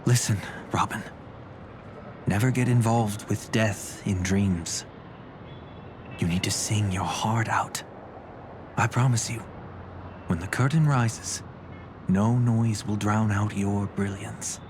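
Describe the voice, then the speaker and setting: An actor playing a composed, protective older brother in a game cutscene, speaking in a measured, calm and firm way. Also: male voice, on the low side, background noise. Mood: reassuring. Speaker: game character. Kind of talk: voiced game cutscene dialogue. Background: game music.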